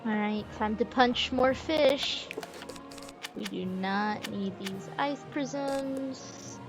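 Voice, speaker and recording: A woman's voice: a little high, extremely slow. A woman, chatting informally as she commentates on a game livestream. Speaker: game streamer. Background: video game music.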